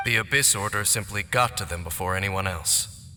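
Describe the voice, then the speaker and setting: A man voicing a grave, ancient knight in a video game cutscene, speaking in a solemn, formal, deliberate manner. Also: on the low side, very noisy, lightly acted. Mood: measured. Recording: echoey game cutscene with music.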